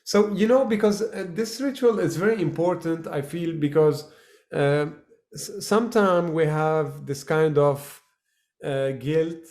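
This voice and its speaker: A man from Lebanon hosting a casual interview, halting and full of fillers and restarts. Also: on the high side, a little mellow, echoey room. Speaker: host. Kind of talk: casual video-call interview.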